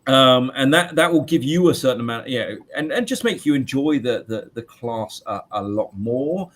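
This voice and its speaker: Man in his late forties, loud voice, chatty teacher, lots of fillers and restarts. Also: medium pitch, lively intonation, normal speed. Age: 40s. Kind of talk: interview answers.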